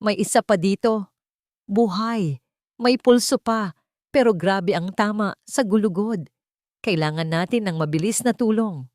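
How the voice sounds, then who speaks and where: A woman's voice: medium pitch, unhurried, long pauses, darkish tone, no echo, studio quality, close to an everyday voice. A woman voicing an urgent rescue paramedic in an audiobook drama, reporting in clipped phrases.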